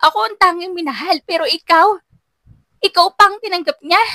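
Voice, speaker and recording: A betrayed, furious young woman played by a female narrator in an audio love drama. Her voice is high and bright, shouting accusations with raw anguish. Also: a little fast, no room sound, clean studio sound, exaggerated voice. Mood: furious, accusing.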